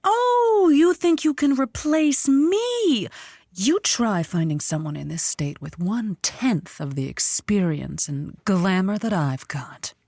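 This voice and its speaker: A self-important glamorous radio star in a comedy audio drama. Her mid-pitched voice is lively and widely swooping, with an airy, superior, theatrical delivery. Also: slow pace, dry recording, studio quality, full character voice. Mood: condescending. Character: haughty, flirtatious female radio star feigning glamorous sophistication. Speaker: radio show host.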